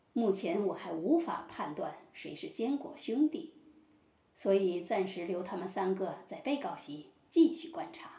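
A woman's voice: medium pitch, dark tone. A woman playing a formal presiding judge, declaring proceedings ceremoniously in a satirical courtroom audio drama. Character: formal presiding female judge of a mock trial. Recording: roomy, echoing sound.

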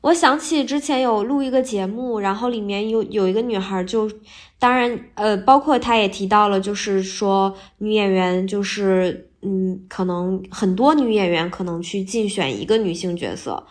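A woman's voice: medium pitch, moderate pace. A woman guest on a podcast, a voice actor, chatting casually in a candid, self-effacing way. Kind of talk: casual interview chat.

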